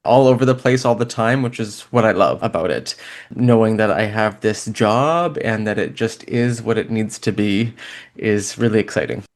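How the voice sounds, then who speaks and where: A man's voice: on the low side, normal speed. A middle-aged man from western Canada with a Canadian accent, chatting informally and upbeat as an interview guest. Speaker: guest, pharmacy professor. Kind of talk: casual interview chat.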